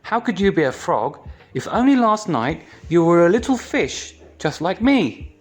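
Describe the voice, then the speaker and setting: Man voicing an excitable, high-pitched little storybook fish. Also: unhurried, faint music behind, heavily acted. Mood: indignant. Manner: insistent, questioning. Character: excitable, impatient, argumentative little male minnow fish.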